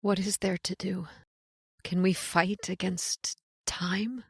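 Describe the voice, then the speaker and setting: A woman's voice: lowish voice, flat delivery, takes it slowly, creaky, slightly rough, no echo, studio quality, heavily acted. An actress playing a fatalistic, tearless woman lost in prehistoric time, grave and dramatic in old-time radio horror style. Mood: weary, resigned.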